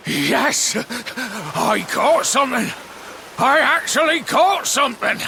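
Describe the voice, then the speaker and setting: Rough old fisherman, folksy, high and wildly swooping, game voice. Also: slow, a little hiss or hum, heavily acted. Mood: excited. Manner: folksy, excitable, swings loud to soft. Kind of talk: game voice acting.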